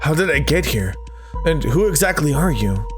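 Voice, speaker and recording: Animated man reading a story game aloud. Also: moderate pace, over music. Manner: dramatic reading with high-pitched joking asides. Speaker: gaming video host. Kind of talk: let's play, reading visual novel text aloud.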